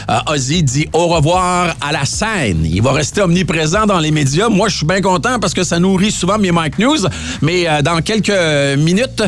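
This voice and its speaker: A man with a Quebec French accent chatting casually as a radio host, conversational and colloquial with hesitant pauses. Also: on the high side, fairly bright tone. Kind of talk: casual music-news radio chat. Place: radio studio. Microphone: studio broadcast microphone.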